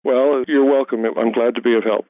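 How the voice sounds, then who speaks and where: A middle-aged man whose first language is German, a history professor answering interview questions in a measured way with many uh's and repeated words. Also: medium pitch, fairly even intonation, dry recording. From Germany, raised in Hawaii. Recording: tinny phone-like line, dry.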